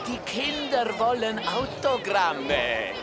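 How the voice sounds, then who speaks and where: An actor playing an excited, grateful circus director in an audio drama. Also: male voice, high voice, takes it slowly, full character voice. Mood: elated. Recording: music and crowd behind.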